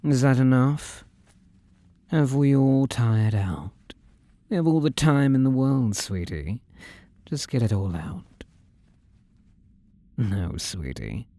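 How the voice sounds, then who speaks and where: A man's voice: mid-range voice, expressive, takes it slowly, long pauses, warm tone, dry recording. A man playing a possessive demon-possessed ex-boyfriend in a scripted roleplay audio drama, condescending and teasing with coaxing endearments. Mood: smug, domineering. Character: controlling, possessive demon-possessed ex-boyfriend, smug and menacingly tender.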